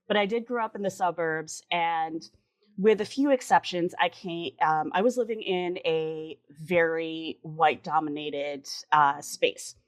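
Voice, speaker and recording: A woman's voice: sits low, unhurried. A woman in her forties from the Chicago suburbs, giving an earnest, articulate interview answer with emphatic repetitions. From Chicago suburbs, US Midwest. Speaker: interview guest, writer and editor.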